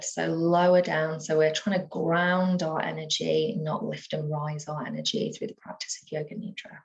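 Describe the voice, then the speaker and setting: A woman teaching yoga nidra, guiding a relaxation meditation in a soothing, unhurried manner with gentle pauses. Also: low voice, low-quality recording.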